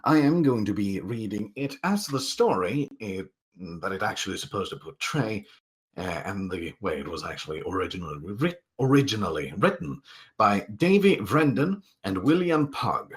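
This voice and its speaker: A man reading a story aloud as a voice actor, with a theatrical, expressive storytelling delivery. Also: lowish voice, normal speed, husky. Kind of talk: dramatic storytelling narration.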